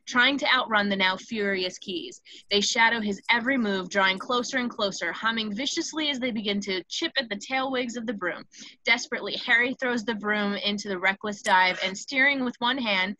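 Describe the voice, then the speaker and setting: A woman's voice: medium pitch, bright, forceful tone, dry recording, low-quality recording. A woman reading stage directions as the narrator of a scripted screenplay table read.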